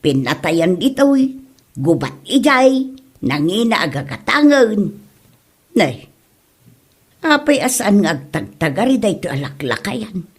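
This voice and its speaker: A woman plays a querulous elderly parent in a comedy drama. The voice is husky and rough, rising and falling expressively through the grumbling. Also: mid-range voice, sing-song, a little slow, pauses often, exaggerated voice.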